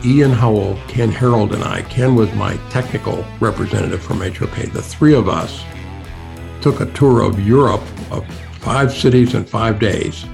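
A man's voice: fairly low pitch, normal speed, gravelly. An older man recounting past work in a plainspoken, matter-of-fact, conversational way. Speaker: podcast co-host, former architecture executive. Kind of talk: conversational storytelling. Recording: voice clips over music bed.

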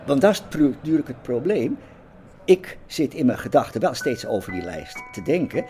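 A man's voice: medium pitch, big pitch swings, moderate pace, warm tone, faint music behind. A man reflecting on a personal memory in conversational speech.

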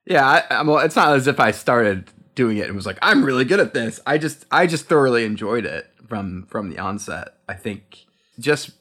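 A man's voice: medium pitch, expressive, normal speed, bright, forceful tone. A man co-hosting a casual podcast chat, talking about sound design with enthusiasm and easy laughter. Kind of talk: casual conversation.

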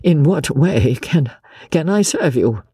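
A man's voice: fairly high pitch, expressive, dark tone, slightly rough, no room sound, clean studio sound, full character voice. A man voicing a proud English nobleman who speaks coolly and formally while holding back his feelings. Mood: restrained. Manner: cool, measured, formal. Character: proud restrained English nobleman, cold and gallant.

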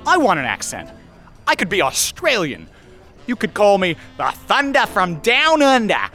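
Radio play: a man doing an uptight, eager guy, very animated delivery. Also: moderate pace, soft background music, heavily acted. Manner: awkward, eager, swoops in pitch. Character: uptight, nerdy imaginary boyfriend man. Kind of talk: audio drama acting.

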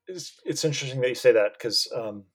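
A man's voice: medium pitch, a little fast, slightly rough. A man co-hosting a casual podcast, explaining news at length with restarts and fillers. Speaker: podcast co-host. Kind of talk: casual chat.

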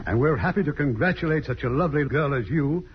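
A man's voice: on the high side, animated, fast, background noise. A man hosting a 1950s radio show with courteous, scripted showman's banter and introductions. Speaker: host. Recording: muffled vintage radio broadcast recording.

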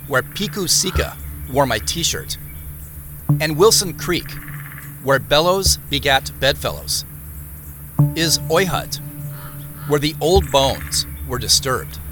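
An actor playing a storyteller, narrating an audio drama in a measured, scripted way. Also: male voice, mid-range voice. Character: storyteller recounting clues and an eerie boyhood tale.

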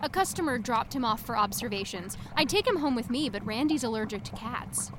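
An actress playing a chatty, sheepish woman in an audio drama, talking in an animated, conversational way. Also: fairly high pitch, fairly quick, slight background noise. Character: Gail, chatty, sheepish woman sneaking a cat around.

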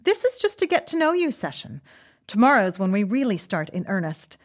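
An officious woman inspector in a comic fantasy audio drama. Her intonation is very lively. She sounds prim and upbeat, every sentence framed with bureaucratic politeness. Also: medium pitch, a little fast. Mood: bright, reassuring.

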